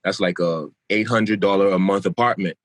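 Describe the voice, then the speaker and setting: A man's voice: low voice, normal speed. A young man with a light Bahamian accent mixed with South Florida, talking loosely as a podcast guest and often repeating himself. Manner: laid-back, rambling, repeats himself. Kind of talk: casual podcast chat.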